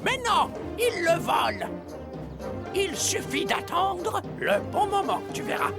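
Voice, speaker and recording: A woman's voice: very high-pitched, big pitch swings, takes it slowly, full character voice. A woman voicing a small, mischievous villain critter who bosses a pirate crew in a cartoon dub. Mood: scheming. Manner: bossy, giving crew orders. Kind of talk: French cartoon dubbing. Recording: music under the voice.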